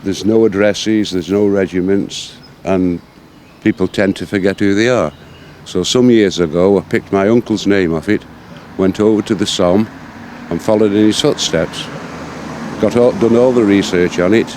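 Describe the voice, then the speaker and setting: A man's voice: on the low side, normal speed, a little mellow, slight background noise. An older man with an English accent, speaking plainly as he recounts his research in an interview. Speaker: interviewee, local researcher and author. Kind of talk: interview recollection.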